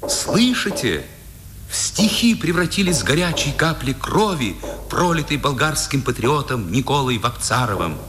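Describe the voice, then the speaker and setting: A man's voice: medium pitch, very animated, measured pace, a crowd in the background. A man playing a solemn narrator honouring fallen heroes, declaiming in a 1950s Soviet radio drama. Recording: noisy old radio recording, hall reverb.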